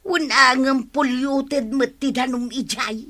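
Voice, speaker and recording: A chatty village seller, an eager accomplice in comic radio drama. Her voice is bright and fairly high, full of exclamations. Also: steady tone, slow pace, heavily acted. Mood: doubtful. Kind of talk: comedic radio drama acting.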